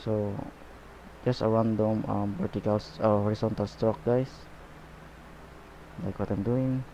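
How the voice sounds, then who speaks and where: A man giving a painting tutorial as he works, talking in a relaxed, unhurried way and often saying "okay" and "guys". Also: fairly low pitch, slight background noise. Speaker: painting instructor. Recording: slightly dull, lo-fi recording.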